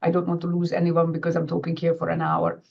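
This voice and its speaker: Low-voiced Hungarian woman presenting a webinar. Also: monotone, quick pace, vocal fry. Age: middle-aged. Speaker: financial advisor hosting a webinar. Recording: dull, lo-fi webinar audio.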